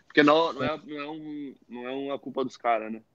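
A man in his thirties co-hosting a casual video-call chat, teasing the others in quick joking banter. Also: medium pitch, pushed and bright, dry recording. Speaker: podcast co-host. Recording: dull lo-fi video call audio.

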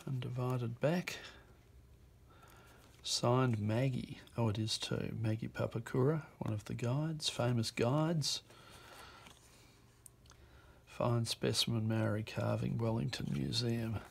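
Slow, gravelly New man. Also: medium pitch, steady tone. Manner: unhurried, reads captions aloud in short bursts. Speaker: auction presenter. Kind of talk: reading out postcards while browsing. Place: indoor office desk.

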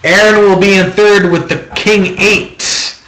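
A man's voice: sits high, flat delivery, slow pace, a bit bright. A man hosting a card-break auction, giving methodical, repetitive running commentary on a card deal in a small room. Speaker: host of a trading-card box-break auction. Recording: roomy echo.

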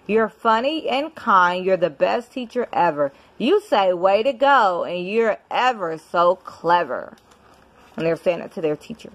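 A woman reading a children's picture book aloud at home in an expressive, deliberate storybook manner. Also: medium pitch. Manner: measured, deliberate storybook delivery. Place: indoors at home.

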